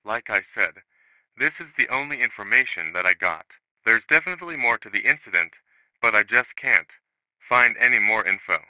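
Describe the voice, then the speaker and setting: A man playing a grim retired investigator, reciting a horror case report in a flat, formal manner. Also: lowish voice, normal speed, no echo, low-quality recording. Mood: grim, detached. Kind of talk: scripted narration, analog horror case report.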